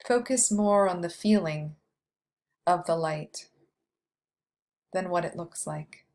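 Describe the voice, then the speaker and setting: A woman guiding a meditation with scripted, poetic narration, delivered in a measured way with long pauses. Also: fairly low pitch, darkish tone. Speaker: meditation guide.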